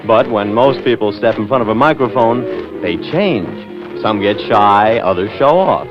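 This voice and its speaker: Low-voiced American man narrating vintage radio. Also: expressive, unhurried, over music. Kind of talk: scripted 1940s radio narration. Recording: dull old 1940s radio recording.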